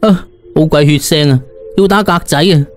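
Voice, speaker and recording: A man's voice: fairly high pitch, moderate pace, faint music behind. A man with a Cantonese accent narrating a first-person audiobook in a colloquial, dramatised storytelling style.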